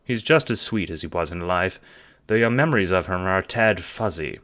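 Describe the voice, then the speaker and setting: A man's voice: deep voice, expressive, a little fast, a bit bright. A man narrating a scripted story in the second person, in the style of an audio drama or audiobook. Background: music.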